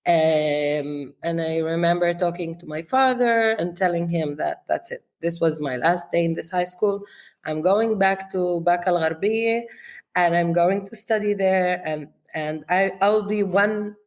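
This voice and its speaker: Interview guest: woman, lower pitch, slow and thoughtful, laughs easily. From Israel. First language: Arabic. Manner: reflective, slow, laughs often, many restarts and fillers. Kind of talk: relaxed conversational interview.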